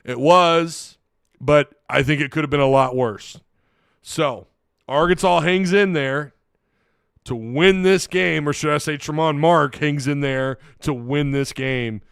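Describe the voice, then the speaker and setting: A man's voice: a little high, a little slow, vocal fry, a bit bright, clean tone, no room sound, clean studio sound. A man hosting a casual solo sports recap, tired and losing his voice, rambling with frequent restarts. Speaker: sports podcast host.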